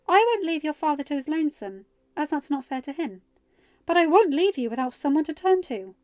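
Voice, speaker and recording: A woman voicing a protective, regal goddess mother who speaks in a measured, firm and dryly amused way, in a storybook reading. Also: high-pitched, expressive, darkish tone, dry recording, muffled recording, pitched above the performer's own voice. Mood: firm, caring.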